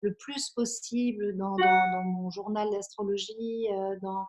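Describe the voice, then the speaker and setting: A woman in her forties from Belgium, an interview guest speaking reflectively and earnestly, often halting as she searches for words. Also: medium pitch, fairly even intonation, poor audio. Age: 40s.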